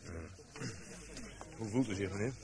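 A man performs a policeman in a radio drama with a low voice. He is firm and commanding, yet courteous in an official way. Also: normal speed, crowd noise, lightly acted. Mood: polite, official. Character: authoritative, polite policeman at accident scene. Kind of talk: radio play acting.

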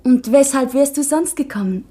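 A woman's voice: sits high, dark tone, lightly acted. An actress playing an anxious, earnest young convent schoolgirl, confiding intensely in her mother in a 1960s radio drama. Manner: intense, confiding, halting with emotion.

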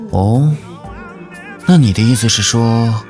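A man's voice: medium pitch, darkish tone. A man acting a confident, teasing young lover in a romantic audio drama. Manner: teasing, self-assured. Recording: music bed under the voice.

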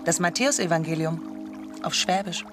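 An actress playing a polite, eager church sister in a radio drama. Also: female voice, fairly low pitch, normal speed, music in the background, lightly acted. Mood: friendly. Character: polite, eager Protestant sister, friendly with old man.

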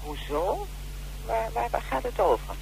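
An actress playing a wary, aggrieved middle-aged widow in a radio play, speaking hesitantly and defensively. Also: female voice, fairly high pitch, sing-song, a little slow, vocal fry, darkish tone, full character voice. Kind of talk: naturalistic radio play acting. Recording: noisy old radio broadcast with hum.

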